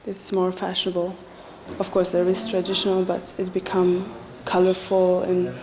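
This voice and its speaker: A woman interviewed about fashion, answering slowly and searching for words. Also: fairly low pitch, completely flat delivery, crowd noise. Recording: noisy recording.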